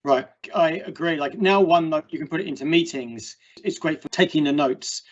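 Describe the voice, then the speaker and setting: A man's voice: on the high side, fairly quick. A man hosting an online meetup, chatting casually and ramblingly as he interviews a guest over a video call. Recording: dull, muffled call audio.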